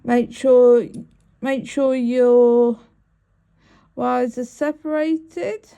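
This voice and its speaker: A woman's voice: high voice, fairly even intonation, extremely slow, creaky, mellow. A woman, sniffly with a cold, talking through each step of making a bracelet in a chatty, apologetic way. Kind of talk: crafting tutorial.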